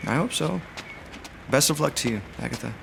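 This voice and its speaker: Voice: mid-range voice, animated, brisk, vocal fry, noisy background. An actor playing a guarded, blunt manor servant with a dry, clipped, reproachful manner in an audio drama. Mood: cool, reproachful.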